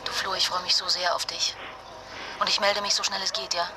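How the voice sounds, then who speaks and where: A woman's voice: on the low side, little pitch movement, fairly quick, background noise, close to an everyday voice. An actress playing a distraught young mother fleeing disaster with a sick child, speaking with emotional, urgent intimacy in a naturalistic radio drama. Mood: subdued, tender.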